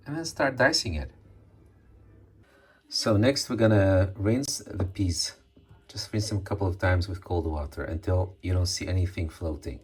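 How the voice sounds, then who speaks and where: Deep-voiced man cooking. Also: normal speed, a little mellow, husky. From Morocco. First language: Amazigh. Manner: chatty, searches for English words with many ums. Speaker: cooking video host. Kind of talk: casual cooking demonstration.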